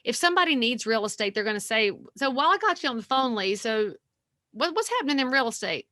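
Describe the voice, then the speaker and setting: A woman talks in a bright, ringing, somewhat high voice. She speaks with folksy, energetic animation, and the sound is dry and close to the mic. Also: fast, dry recording. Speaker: guest realtor. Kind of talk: podcast interview.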